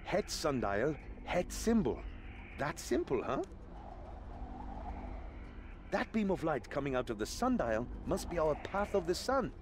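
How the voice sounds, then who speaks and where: An actor voicing a brash, plucky young adventurer in a video game, with an excitable, theatrical manner. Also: male voice, fairly high pitch, measured pace, pauses often, noisy background. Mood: confident, curious.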